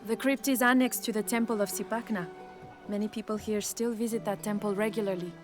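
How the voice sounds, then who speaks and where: A woman's voice: mid-range voice, fairly even intonation, brisk, lightly acted. Earnest, polite woman acted in a game cutscene. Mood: serious. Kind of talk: video game cutscene acting. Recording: music behind game dialogue.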